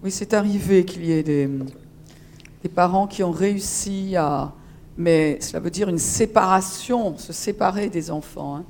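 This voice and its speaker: A woman moderator introducing a guest politely, with many fillers and pauses. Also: fairly low pitch, a little mellow, slight background noise.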